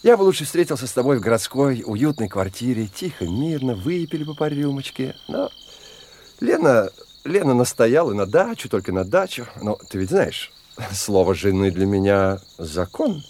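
A man's voice: medium pitch, very animated, normal speed, darkish tone, a little hiss or hum, close to an everyday voice. An actor in a Russian radio drama playing a boastful, talkative married man who puts on expansive good cheer. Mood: confiding. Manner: expansive, talkative, peppers talk with questioning tags.

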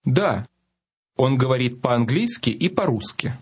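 A man modeling phrases in a language lesson, saying words slowly and breaking them into syllables. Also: medium pitch, expressive, husky. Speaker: native-speaker model in a lesson. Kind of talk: scripted lesson demonstration.

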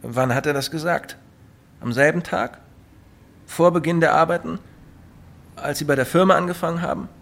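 An actor playing a calm, patient professional man, reassuring and methodical, in a German radio drama. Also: a little high, normal speed, creaky, pauses often. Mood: probing. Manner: composed, reassuring, methodical questioning. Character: calm, patient male professional advising a troubled client.

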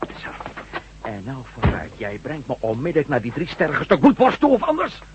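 A man's voice: a little high, huge pitch swings, moderate pace. An actor playing an indignant, threatening man in a Dutch radio drama, blustering and demanding. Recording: muffled, noisy old radio recording.

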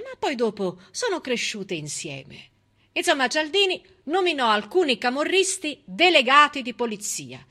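Middle aged woman doing a stage monologue, emphatic n ironic, bright forceful voice, a bit high. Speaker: stage actress and storyteller. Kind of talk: theatrical civic monologue.

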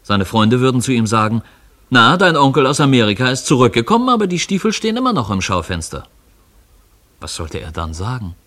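A man's voice: medium pitch, big pitch swings, brisk, a bit bright. A man reading scripted literary narration for a radio play.

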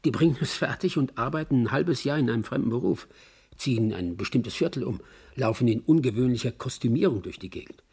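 An actor playing a reflective man who muses and explains as he reminisces, in a husky, gravelly voice, in a radio drama. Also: mid-range voice, expressive, brisk, a little mellow. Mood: reflective, admiring. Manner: musing, explanatory. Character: reflective male raconteur reminiscing about actors.

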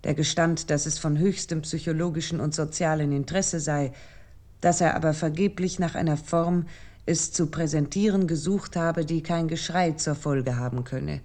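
A woman playing an essayist, reading a literary essay for a radio play in a measured, formal, deliberate manner. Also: sits low, steady tone, mellow, close to an everyday voice. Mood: measured, serious. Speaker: narrator.

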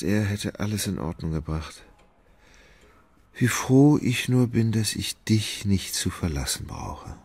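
An actor in a radio play, playing a gentle, indecisive landowner in love who speaks hesitantly and anxiously. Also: male voice, fairly low pitch, slightly rough, full character voice. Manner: hesitant, wavering. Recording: studio radio play with faint music behind.

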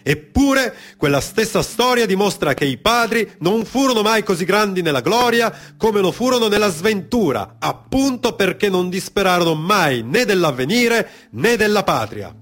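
A man's voice: sits high, animated, normal speed, few pauses, a bit bright, pitched above the performer's own voice. A man playing an impassioned fascist orator declaiming a rhetorical political speech in a theatrical monologue. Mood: fervent, exalted.

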